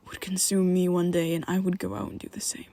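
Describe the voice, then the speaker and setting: A man playing a gravelly whispering vampire. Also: sits high, level tone, no echo. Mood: hushed confessional. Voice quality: soft close whispering. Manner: halting, confessional, with restarts. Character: young adult male vampire, guilt-ridden protective best friend. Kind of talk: ASMR roleplay audio.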